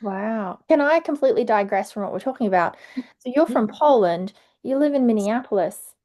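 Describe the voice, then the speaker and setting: A woman's voice: a little high, animated, fairly quick, dark tone. A woman with an Australian accent, hosting a podcast interview and asking friendly, curious questions. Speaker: podcast host. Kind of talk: casual interview chat.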